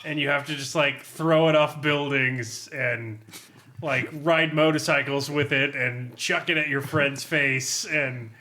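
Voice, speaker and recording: A man's voice: mid-range voice, steady tone, a little slow, bright, forceful tone. A man brainstorming in a casual group chat, on a slightly noisy recording with clicks.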